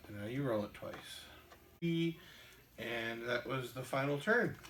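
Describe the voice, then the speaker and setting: A man hosting a casual board game show, explaining the rules in a halting way with many ums and restarts. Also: medium pitch, bright, forceful tone, reverberant, a little hiss or hum.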